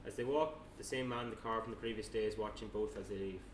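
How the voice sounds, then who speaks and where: A man's voice: on the low side, steady tone, fast. A man reading screenplay directions aloud as narrator, plain and matter-of-fact, with the odd stumble. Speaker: narrator reading stage directions at a table read. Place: indoor room, table read. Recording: echoey room, distant mic, noisy background.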